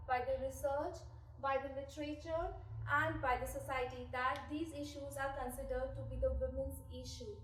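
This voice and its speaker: A woman from India gives a prepared pitch presentation. She speaks earnestly and poses rhetorical questions. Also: a little hiss or hum. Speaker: team presenter. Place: presentation room. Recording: echoey room, mic far off.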